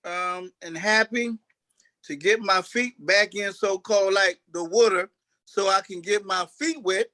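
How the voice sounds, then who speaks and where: Actor guy just chatting, high bright voice, keeps stopping and restarting. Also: a little slow. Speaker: actor, interview guest. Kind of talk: casual chat.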